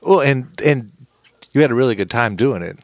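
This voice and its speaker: A man's voice: mid-range voice, lively intonation, moderate pace, no room sound. A man hosting a podcast, chatting in a rambling, friendly way with many restarts. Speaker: podcast host. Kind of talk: casual podcast chat.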